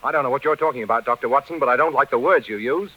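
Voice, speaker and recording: A man's voice: mid-range voice, racing, husky, no echo, close to an everyday voice. A man acting an earnest, plain-spoken young village bridegroom in a 1940s radio drama. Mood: defiant. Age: young adult. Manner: earnest, straightforward. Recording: muffled 1940s radio recording.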